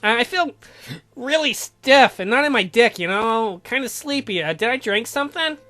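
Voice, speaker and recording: A woman's voice: a little high, animated, moderate pace, pushed and bright. Woman acting a stammering, groggy young guy. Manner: hesitant, stammering. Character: groggy, nervous, eager young man. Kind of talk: visual novel read aloud in character.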